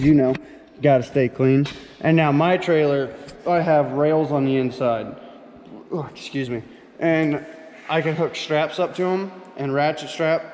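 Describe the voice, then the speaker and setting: A man with a slightly high voice gives a casual vlog tour as a truck driver. He talks in an informal, folksy way, using slangy phrasing. The handheld camera audio carries long reverb and faint noise. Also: warm tone. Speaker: truck driver vlogger showing his gear. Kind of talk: casual walk-through vlog, chatty and informal. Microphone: handheld camera on small tripod, no foam cover.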